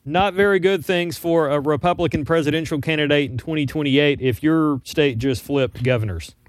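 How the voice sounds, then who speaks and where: A male radio co-host runs through news items in a plain, matter-of-fact way. His voice sits a little higher than most men's and is recorded dry and close. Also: normal speed, no room sound. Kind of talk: talk radio news chat.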